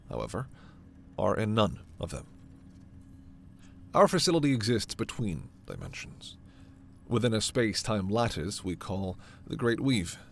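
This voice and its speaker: A man playing a formal alien scientist in a sci-fi ASMR roleplay, stern and authoritative yet reassuring, with measured, precise diction. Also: on the low side, gravelly, slight background noise. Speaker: voice actor in audio roleplay.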